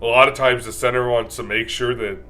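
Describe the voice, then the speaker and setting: A man co-hosting a casual football talk show with a big, loud voice, swearing casually as he rambles excitedly about the game. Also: medium pitch, a little fast, a little hiss or hum. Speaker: co-host. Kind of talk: casual sports chat.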